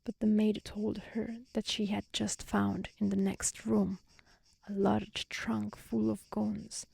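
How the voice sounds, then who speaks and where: A woman reading a fairy tale aloud as soft-spoken ASMR, calm, gentle and soothing. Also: mid-range voice, takes it slowly, vocal fry, breathy edge, no echo. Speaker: storyteller. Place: outdoors in nature.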